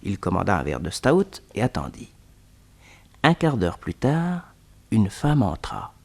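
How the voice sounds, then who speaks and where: A male narrator of a radio detective serial. His voice sits fairly low, and he tells the story in a scripted, dramatic style. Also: huge pitch swings, a little slow, creaky, darkish tone.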